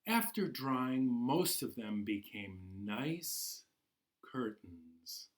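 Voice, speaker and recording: A man hosting a storytime for children, reading aloud expressively in a deliberate, encouraging way. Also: medium pitch, sing-song. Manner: slow, deliberate storytelling with dramatic pauses. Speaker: library storytime host.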